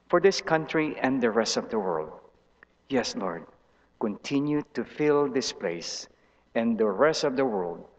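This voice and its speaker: A middle-aged man, a pastor, leads a congregational prayer in a measured, reverent manner with pauses between short phrases. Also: lowish voice. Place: large church sanctuary, indoors. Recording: muffled sound in reverberant church hall.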